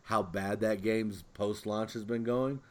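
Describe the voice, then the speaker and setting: A man's voice: on the low side, fairly even intonation, measured pace. A man co-hosting a podcast, chatting casually about pop culture. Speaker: podcast co-host.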